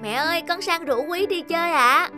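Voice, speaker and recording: A woman voicing an eager, kind little boy in an animated fable, polite and coaxing. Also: extremely high pitch, normal speed. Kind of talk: animated moral fable voice acting. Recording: music behind the voice.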